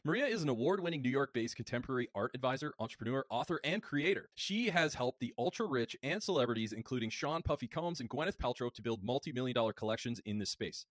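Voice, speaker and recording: Fast-talking man hosting a podcast. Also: mid-range voice, lively intonation, dry recording. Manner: upbeat, polished introductions. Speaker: podcast host. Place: home office, indoors. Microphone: studio mic on boom arm, headphones.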